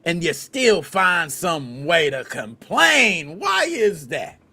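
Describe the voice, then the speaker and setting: A man from the United States hosting a podcast, chatting casually in slangy, animated speech and acting out little scenes. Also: fairly high pitch, slow pace, creaky, slightly rough. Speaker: podcast host.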